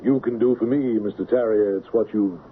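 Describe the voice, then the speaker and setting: An actor playing a middle-aged, driven police lieutenant with a firm, pointed manner in old-time radio drama. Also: male voice, fairly low pitch, fairly even intonation, fairly quick, close to an everyday voice. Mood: accusing, determined. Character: dogged veteran male police lieutenant, righteous, driven. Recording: tinny, band-limited, noisy old radio recording.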